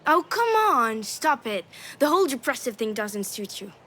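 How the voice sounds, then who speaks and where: A woman's voice: a little high, very animated, moderate pace, sharp tone, exaggerated voice. An actress voicing a cheeky, affectionate young daughter in a video game, with a playful, girlish, teasing manner. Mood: teasing, encouraging. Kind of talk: naturalistic video game drama acting.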